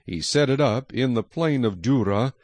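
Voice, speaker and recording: A man's voice: mid-range voice, lively intonation, moderate pace, darkish tone, dry recording. A man narrating scripture from a script as the narrator of a dramatized reading.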